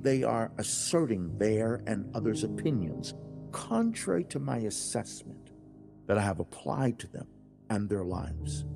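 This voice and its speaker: A man's voice: mid-range voice, vocal fry. A man playing God as a stern fatherly teacher, solemn and declamatory, in a dramatized religious audiobook monologue. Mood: stern, instructive. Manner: solemn, declamatory, lecturing with rhetorical questions. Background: music.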